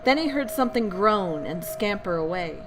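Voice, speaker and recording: A woman's voice: mid-range voice, lively intonation, moderate pace, background noise. A woman narrating scary tales as a bedtime storyteller, theatrical and full of suspense. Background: background music.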